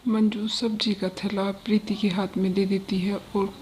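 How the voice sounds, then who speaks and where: A woman's voice: medium pitch, level tone, normal speed, a little mellow, rough recording. Casting: female storyteller for cartoon moral tales, scripted narration, slight noise behind.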